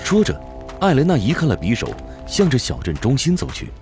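A man's voice: mid-range voice, wildly swooping pitch, fairly quick, loud music behind the voice. A man giving scripted narration as storyteller of a fantasy radio drama.

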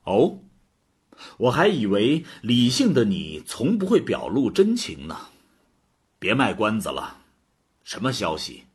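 Sarcastic god, very raspy, animated intonation, challenging, audio drama. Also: male voice, fairly low pitch, a little slow, pauses often, exaggerated voice. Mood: mocking, impatient.

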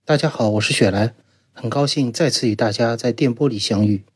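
A man's voice: mid-range voice, a little fast, breathy edge. A man speaking as a podcast guest, giving a scripted, storytelling narration.

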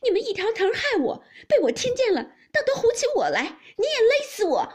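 A woman voicing a fierce, jealous young mistress in a storybook reading, shrill and complaining. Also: very fast, warm tone, husky, natural voice. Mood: furious. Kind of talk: dramatised audiobook of classic novel.

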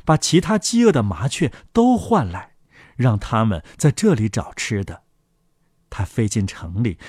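A man narrating a fairy tale for children as the host, in an expressive scripted storytelling style. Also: mid-range voice, dry recording, clean studio sound.

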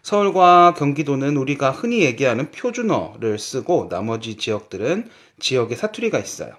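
A man's voice: mid-range voice, sing-song, moderate pace, fairly bright tone. A man from Seoul co-hosting a casual Korean language podcast, teasing and laughing often. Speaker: co-host, Korean teacher.

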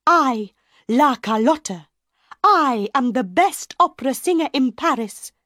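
A woman's voice: sits high, lively intonation, measured pace, fairly bright tone, dry recording, clean studio sound, voice pushed up, exaggerated voice. Woman doing a haughty vain opera diva.

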